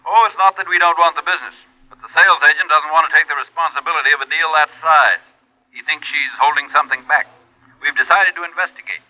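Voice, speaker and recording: An actor playing a brisk, businesslike insurance company man in a 1950s radio drama. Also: fairly high pitch, close to an everyday voice. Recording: dull vintage radio recording.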